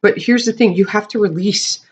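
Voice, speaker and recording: A tarot reader with a hoarse, crackling voice from losing it, giving a casual monthly reading to camera and often checking in with the viewers. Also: medium pitch, normal speed. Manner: chatty, often checks in with "okay?"; clears throat often.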